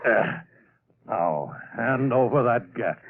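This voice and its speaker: A tough cop in an old radio play, with a lively, threatening way of speaking and an unhurried pace. The broadcast sound is thin and tinny. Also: male voice, medium pitch, vocal fry, exaggerated voice. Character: tough male policeman making an arrest. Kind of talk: 1930s radio drama acting.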